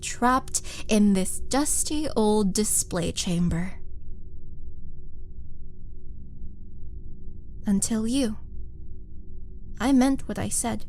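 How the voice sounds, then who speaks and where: A woman playing a vengeful, seductive monster warrior in a wistful, theatrical roleplay monologue, with dramatic pauses. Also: medium pitch, a little mellow, slight background noise. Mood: yearning, seductive.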